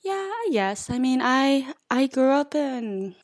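Soft-spoken woman, thinking aloud. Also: high-pitched, slow pace. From United States. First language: Chinese. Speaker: interviewee. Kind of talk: hesitant conversational answers.

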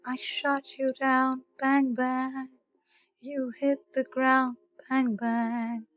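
A woman singing a ballad softly in a slow lullaby style. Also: sits high, flat delivery, muffled recording.

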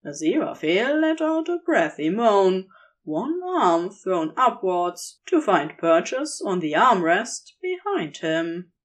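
A woman's voice: medium pitch, sing-song, takes it slowly. A woman narrating scripted romantic fan fiction in an intimate storytelling style.

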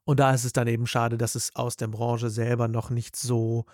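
A man's voice: mid-range voice, level tone, moderate pace, a little mellow, no room sound, studio quality. A male voice actor and dubbing director in a casual interview, speaking animatedly and thinking aloud with plenty of fillers.

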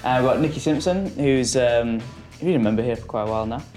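A man hosting a podcast, asking relaxed, easygoing questions. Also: medium pitch, fast, faint music behind. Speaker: podcast host. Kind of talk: casual podcast interview.

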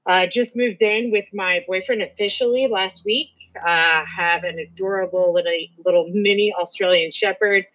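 A woman's voice: medium pitch, steady tone, normal speed, few pauses, a bit bright, poor audio. A woman in her thirties from Louisville with a Kentucky accent, co-hosting a casual podcast in a sociable, laughing way. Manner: friendly, sociable, laughs often. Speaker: podcast co-host.

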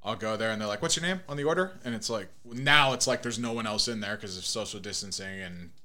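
A man's voice: lowish voice, fast talker, sharp tone. A man hosting a casual podcast, joking and laughing as he chats and plays soundboard clips. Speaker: podcast host. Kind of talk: casual chat.

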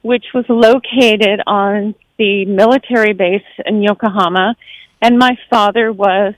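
A woman's voice: mid-range voice, fairly even intonation, a little slow, no echo, low-quality recording. American woman, reflective, with a dry sound. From born in Japan, grew up in the United States. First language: Japanese. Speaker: interview guest. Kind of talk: casual conversational interview.

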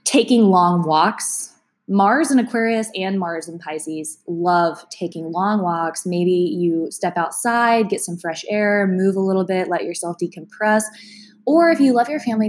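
A woman's voice: fairly low pitch, slight background noise. A woman with a cold, sounding congested, giving a casual, chatty astrology forecast to her viewers and laughing now and then. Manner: chatty, gentle, laughs between sentences. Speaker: astrology vlogger. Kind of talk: casual talk to camera.

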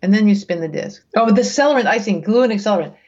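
A woman reading research aloud as a guest, with casual, bemused asides, thinking out loud in a conversational way. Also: mid-range voice, expressive, rapid-fire, lo-fi sound. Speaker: guest reader reacting to research papers.

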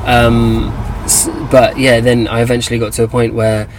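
A young man with an English accent talks in a relaxed, everyday way, often pausing on fillers and starting his sentences over. His voice sits at an ordinary middle pitch. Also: noisy background. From England. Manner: hesitant, many fillers and restarts. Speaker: guest, activist. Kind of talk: casual interview talk.

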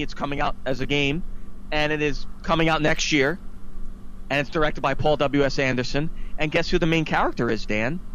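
Male podcast host, fairly high-pitched, opinionated and emphatic, casual ranting review, slight background noise. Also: a bit bright, poor audio.

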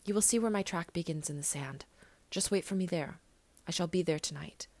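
A woman reading an audiobook, voicing a gentle, grave little boy prince who speaks softly and often in a whisper. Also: lowish voice, fairly quick, no echo. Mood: wistful. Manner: soft, wistful, often whispered.

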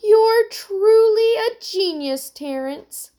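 A woman voicing an excitable, easily frightened girl in a children's story, crying out dramatically. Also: very high-pitched, slow pace, voice pushed up, full character voice. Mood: relieved, excited. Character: frightened, excitable girl stranded in a sinking boat.

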